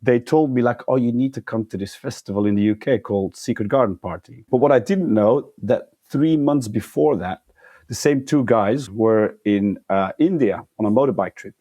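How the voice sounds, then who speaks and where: A man's voice: mid-range voice, moderate pace, warm tone, no echo. A man from Flanders with a Flemish accent, a podcast guest telling anecdotes casually with self-deprecating humour. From Flanders, Belgium. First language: Flemish Dutch.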